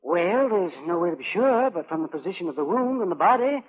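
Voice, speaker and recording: Crotchety old american doc, high husky voice, fussy, muffled old radio western. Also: male voice, animated. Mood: fussy, wry. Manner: fussy, wordy, drawn-out. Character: fussy, crotchety old male frontier town doctor. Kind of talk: 1950s radio western acting. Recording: muffled vintage radio broadcast.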